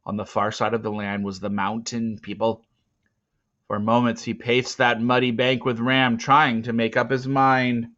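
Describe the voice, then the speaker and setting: A man narrating a storybook dramatically, swinging theatrically between hushed and loud delivery. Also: medium pitch, flat delivery, unhurried, muffled recording. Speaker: reader of a children's novel.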